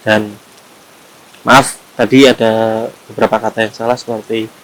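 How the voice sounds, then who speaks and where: A man's voice: on the low side, flat delivery, moderate pace, fairly bright tone, low-quality recording. Man with a thick Javanese accent reading horror. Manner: unrehearsed sight-reading with small slips and restarts. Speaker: horror story reader and channel host. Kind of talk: reading a horror story aloud, then casual chat.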